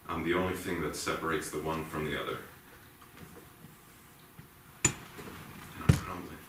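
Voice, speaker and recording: An actor playing a haunted, guilt-ridden policeman and family man in a brooding, halting, intense stage monologue. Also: a bass voice. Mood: despairing. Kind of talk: dramatic theatrical monologue. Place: indoor theatre stage. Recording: echoey theatre, distant mic, faint noise.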